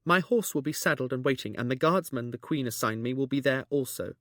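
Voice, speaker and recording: A man doing a grieving, high-voiced young woman. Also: expressive, normal speed, no echo, clean studio sound. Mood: resolute. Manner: high, trembling, pleading.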